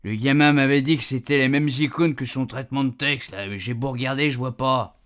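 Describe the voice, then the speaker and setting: A man's voice: medium pitch, steady tone, fairly quick, fairly bright tone, lightly acted. An actor playing a chatty, proud retired husband in a radio fiction, rambling colloquially and speaking straight to his reader. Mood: puzzled, grumbling. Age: older. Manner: colloquial, digressive, addressing the reader directly. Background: music.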